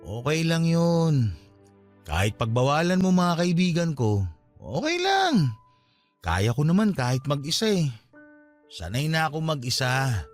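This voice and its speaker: An actor with a Filipino accent playing a wounded, drunken young boyfriend in a Tagalog radio drama, pleading and pushy. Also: male voice, a little high, sing-song, a little slow, pauses often, dry recording. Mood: bitter, hurt. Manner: pleading, insistent. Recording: dramatic music bed behind the voice.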